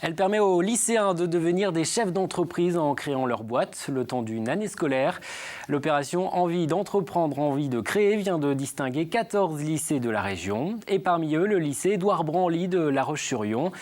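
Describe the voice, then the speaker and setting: A man anchoring a regional television news bulletin in a steady, measured newsreading voice. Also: a little high.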